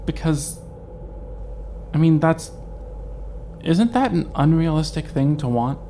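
A mild, weary young man, voiced by a man, hesitant and dryly deadpan. His voice sits a little high, and a faint background hiss runs under it. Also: takes it slowly. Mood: resigned. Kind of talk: amateur audio drama fandub.

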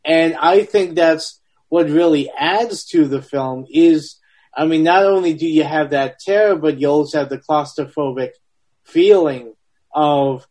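A man's voice: on the high side, measured pace, a little mellow. A man in his early forties casually discussing a film as a podcast panelist, feeling his way through sentences with restarts.

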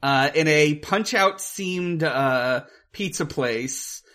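A podcast co-host recapping a film casually, in a hesitant, halting way with searching pauses. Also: mid-range voice, a bit bright.

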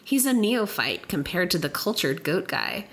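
Brief: woman co-host, low voice deepened by a cold, giggly, trips on words while reading aloud. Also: very animated, normal speed. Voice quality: deep voice from a cold. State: has a cold. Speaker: podcast co-host. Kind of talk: casual chat, reading aloud from a book.

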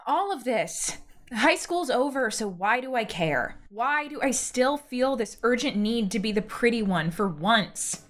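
A sardonic, high-voiced wounded teenage girl. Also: lively intonation, measured pace, fairly bright tone. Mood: hurt, weary. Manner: sardonic, defensive. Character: hurt, proud teenage girl just out of high school. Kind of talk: naturalistic modern audio drama acting.

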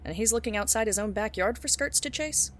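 An actress playing a private detective who narrates in a deadpan film noir style. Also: female voice, mid-range voice, brisk, slight background noise, lightly acted. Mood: wry. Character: hard-boiled private eye narrator, wry and dry.

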